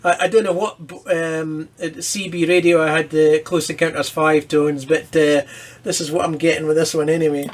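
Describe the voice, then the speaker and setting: A man with a somewhat high voice gives a casual demonstration at his workbench. He talks informally, thinking aloud as he works through a repair. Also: little pitch movement, normal speed, a little hiss or hum. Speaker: presenter of a hobby electronics repair video. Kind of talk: casual hands-on demonstration commentary.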